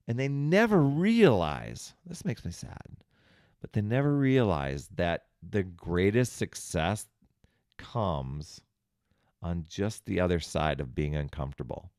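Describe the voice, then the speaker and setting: A man's voice: low-pitched, darkish tone, dry recording, studio quality. A man hosting a self-help podcast, talking conversationally and encouragingly straight to the listener. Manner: conversational, talks directly to the listener; encouraging, coaching. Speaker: podcast host.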